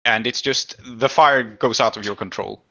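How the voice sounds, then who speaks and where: Bright-voiced man running a tabletop game. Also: on the low side, moderate pace, vocal fry, highs cut off. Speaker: game master. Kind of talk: narrating and adjudicating a tabletop game.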